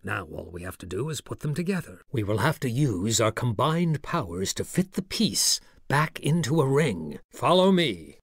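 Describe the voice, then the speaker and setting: An actor playing an eager, solemn mage in a fantasy video game, speaking in a deliberate, theatrical way. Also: male voice, mid-range voice, sing-song, husky, dry recording, clean studio sound. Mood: commanding. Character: eager, solemn male mage directing a ritual.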